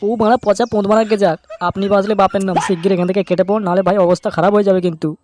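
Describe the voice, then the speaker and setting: A man's voice: high voice, fairly even intonation, very fast, natural voice. A man voicing a sly, jumpy young cartoon troublemaker with urgent, slangy delivery. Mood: panicked. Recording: cartoon dub with crowd and birds behind.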